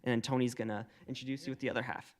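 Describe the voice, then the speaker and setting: Young man presenting, halting delivery. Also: medium pitch, level tone. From Minnesota. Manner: halting, many filler sounds. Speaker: volunteer team member. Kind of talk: presenting slides at a public meeting.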